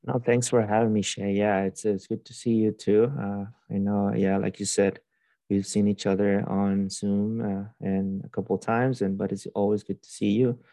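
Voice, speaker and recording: A young man speaks low and dark, his pitch staying flat and even. He tells his story as a guest, pausing, restarting and filling gaps as he goes. The sound is dry and close, with no room around it. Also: dry recording. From Honduras. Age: young adult. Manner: hesitant, many restarts and fillers. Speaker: podcast guest. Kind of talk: casual interview storytelling.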